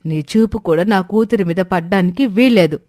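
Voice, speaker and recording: A woman's voice: medium pitch, normal speed, a little mellow, dry recording, studio quality, lightly acted. A woman voicing an angry, suspicious young mother who is fiercely possessive and accusing, in a storybook drama. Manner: accusing, confrontational.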